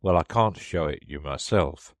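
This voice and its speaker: A man voicing a melancholy, easily offended turtle creature from a storybook, in a deep, hollow tone full of sighs. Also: no echo, clean studio sound. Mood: wistful. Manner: slow, mournful, sighing. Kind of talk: storybook character reading.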